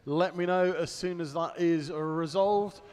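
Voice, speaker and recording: A man's voice: fairly high pitch, fairly even intonation, measured pace, a little mellow. A man hosting a live race stream, reading chat aloud and commentating, his voice getting worn. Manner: chatty, reads out chat messages, laughs easily. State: losing his voice. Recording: long hall reverb.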